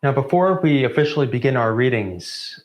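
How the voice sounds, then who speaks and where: A man in his twenties giving a formal, deliberate welcome speech as co-founder of a student theatre group. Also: medium pitch, animated. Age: 20s. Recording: roomy, echoing sound.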